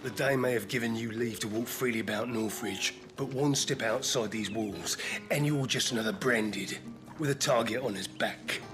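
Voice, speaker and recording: An actor playing a menacing, contemptuous town guard in a video game, threatening and scornful. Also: male voice, mid-range voice, normal speed, music in the background, close to an everyday voice. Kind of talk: video game cutscene acting.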